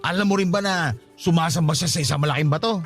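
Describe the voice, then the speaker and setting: A man's voice: on the high side, quick pace, close to an everyday voice. A man playing a gossipy young office worker in a radio drama, talking in a sly, conspiratorial way over a music bed. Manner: conspiratorial, teasing.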